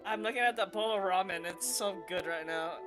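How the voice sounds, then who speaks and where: A woman streams a game with casual live commentary. Her voice sits at a middling pitch, with game music clearly audible behind it. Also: fairly quick, a bit bright.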